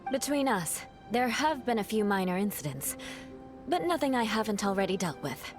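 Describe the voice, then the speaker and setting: Aloof young knight captain, mid pitch, cool and clipped with expressive turns voiced by a woman. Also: moderate pace, over music, natural voice.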